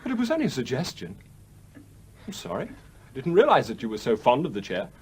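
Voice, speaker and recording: A man's voice: mid-range voice, huge pitch swings, fairly quick, a little mellow, husky, full character voice. An actor playing a smug, self-centred young man in a radio play, a pompous and wheedling son who patronises his mother. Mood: wounded, sanctimonious. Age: young adult. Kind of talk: British radio play acting.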